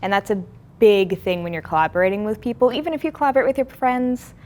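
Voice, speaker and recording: A woman's voice: medium pitch, lively intonation, fast talker, a little mellow. A young woman who co-creates and writes a comic, explaining her process in an interview.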